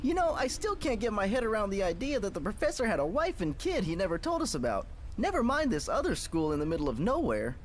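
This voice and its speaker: A man's voice: high-pitched, wildly swooping pitch, quick pace, slight background noise. A man playing a brash, baffled young ice-powered superhero in a motion comic.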